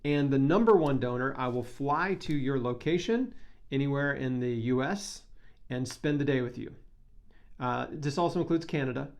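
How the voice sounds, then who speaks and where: A man's voice: medium pitch, moderate pace, darkish tone. A man talking casually to camera as a vlogger making a charity appeal, with an informal, enthusiastic and earnest manner. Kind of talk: casual talk to camera, fundraising pitch.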